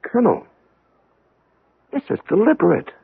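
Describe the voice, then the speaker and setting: A desperate, husky doomsday investigator. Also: male voice, high-pitched, big pitch swings, moderate pace, no echo, exaggerated voice. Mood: frantic alarm. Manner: intense, dramatic, pleading. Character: desperate civilian investigator, passionate doomsday believer. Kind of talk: old-time radio drama acting. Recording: muffled vintage radio broadcast, narrow band.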